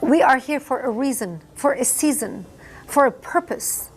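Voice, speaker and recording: Middle-aged woman from Lebanon, Arabic native speaker, passionate interview testimony, slightly higher voice. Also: animated, normal speed, slight background noise. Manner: emphatic, repeats phrases for effect. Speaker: interview guest. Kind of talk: impassioned testimony.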